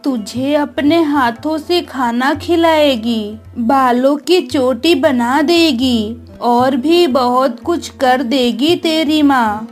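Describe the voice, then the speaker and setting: A woman's voice: high-pitched, slow pace, faint music behind. A woman voicing an ailing village mother in a cartoon story, tender, weary and resigned. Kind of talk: acted Hindi moral story cartoon dialogue.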